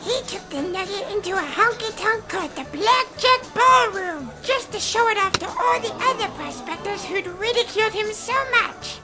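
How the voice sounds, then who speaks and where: An actor voicing an excitable young cartoon duck nephew who tells stories earnestly and eagerly in a fan comic dub. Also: very high voice, normal speed, few pauses, over loud music. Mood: earnest storytelling. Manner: earnest, enthusiastic storytelling.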